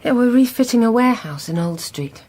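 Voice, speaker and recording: An actress playing a worried grown-up English daughter, brisk and terse, in a radio drama. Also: female voice, on the high side, animated, darkish tone. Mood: subdued. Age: adult.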